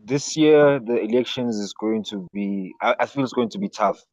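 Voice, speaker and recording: Male guest from South Africa, halting delivery, slightly muffled dry audio. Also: lowish voice, darkish tone, no room sound. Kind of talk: political discussion.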